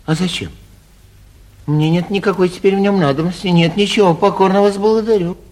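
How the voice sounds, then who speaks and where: A man playing a foppish, carefree young gentleman from the capital in a classic Russian stage comedy for radio, chatty and airy with a self-satisfied manner. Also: sits high, steady tone, fairly quick, natural voice. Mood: breezy, genial. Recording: muffled, husky 1949 radio theatre recording.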